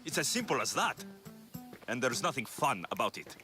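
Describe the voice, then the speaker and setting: An actor playing a gruff, weary young mercenary of ancient Greece in a video game, blunt and sardonic. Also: male voice, medium pitch, very animated, normal speed, over music, lightly acted. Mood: exasperated. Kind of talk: video game character dialogue.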